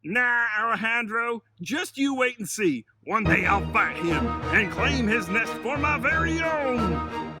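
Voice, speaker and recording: A man's voice: very high voice, expressive, over loud music, voice pushed up, full character voice. A man voicing a boastful, starry-eyed younger brother bear in a children's story, with a folksy, eager manner. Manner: folksy, drawn-out exclamations. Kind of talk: storybook character voice.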